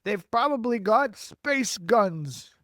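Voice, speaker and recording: Man acting a blustering, high-pitched police chief. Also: animated, slow, no echo, clean studio sound, heavily acted. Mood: grimly worried. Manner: loud, bossy, panicky exclamations. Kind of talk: storybook character acting.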